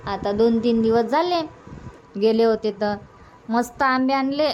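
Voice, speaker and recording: A woman acts out a middle-aged village mother in a cartoon comedy. She has a very high voice and coaxes in homely, colloquial Varhadi Marathi. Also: measured pace, highs cut off, lightly acted. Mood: coaxing.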